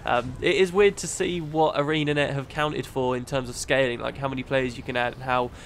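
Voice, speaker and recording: A man with a cold narrating a gameplay guide, explaining things step by step in a relaxed, chatty way. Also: medium pitch, brisk, a little hiss or hum. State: has a cold, sniffly. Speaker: gaming channel host.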